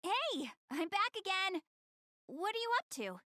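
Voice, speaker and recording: Young goddess girl, anime game dub, high voice, animated intonation, proud but easily flustered, dry close recording. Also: a little slow, no room sound, heavily acted. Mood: casual, curious. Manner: expressive, hesitant when covering up embarrassment. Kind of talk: anime game English dub, addressing the player directly.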